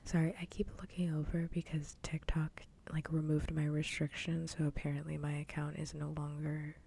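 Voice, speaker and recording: A soft-spoken woman chatting casually on an ASMR livestream, often dropping into a whisper close to the microphone. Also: sits low, little pitch movement, moderate pace, vocal fry, husky. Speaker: streamer.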